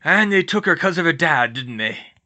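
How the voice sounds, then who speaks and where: A man voicing a big, gruff but kindly older giant of a man in a storybook, with rustic, ungrammatical speech. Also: a little high, expressive, moderate pace, sharp tone, pitched above the performer's own voice. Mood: gloomy. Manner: rustic, ungrammatical, dropped words.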